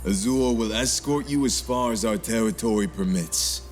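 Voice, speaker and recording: A man's voice: on the low side, normal speed, soft background music, lightly acted. A man playing a smug, teasing gang leader in a sci-fi fan dub, speaking in a sly, mocking way. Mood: cool warning. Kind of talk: acted fan dub of a visual novel.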